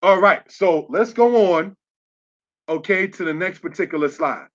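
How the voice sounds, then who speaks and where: A man's voice: sits high, unhurried. A man giving a religious lesson over slides, spelling words out letter by letter and checking in with "all right?" as he goes. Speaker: religious teacher. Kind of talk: slide-based online lesson. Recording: dull lo-fi audio.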